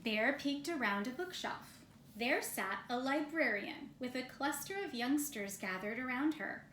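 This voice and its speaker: A woman reads a children's story aloud at an unhurried, slow pace. Her voice is fairly high and bright, recorded in a roomy, echoing space. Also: animated. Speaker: storybook reader. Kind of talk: scripted children's story narration. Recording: roomy echo, faint background noise.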